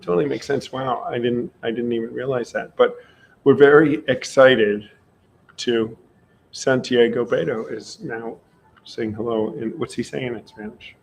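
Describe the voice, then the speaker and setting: A man's voice: steady tone, a little slow, rough recording. A man hosting a casual livestream interview, thinking aloud and repeating himself for emphasis. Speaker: livestream host. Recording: clear rumbly background noise.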